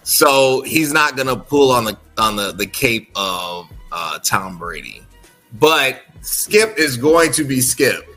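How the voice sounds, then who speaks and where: Bright-voiced male sports podcast host. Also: medium pitch, very animated, takes it slowly, faint music behind. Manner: chatty, frequent "right?" tags. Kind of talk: casual sports talk.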